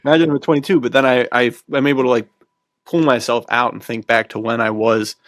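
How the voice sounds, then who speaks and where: A man's voice: medium pitch, fairly even intonation, fast talker, fairly bright tone, no echo, studio quality. A man in his twenties hosting a podcast in a casual, rambling, self-deprecating way with small chuckles. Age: 20s. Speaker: podcast host and fiction writer. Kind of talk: casual conversational podcast chat.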